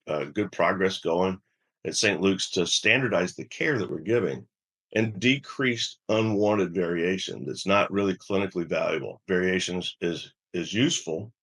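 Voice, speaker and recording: A mature man, a medical executive, answering an interview in a measured, explanatory way, halting and repeating words as he builds his points. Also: sits low, a bit bright. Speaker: health system chief medical officer, interview guest.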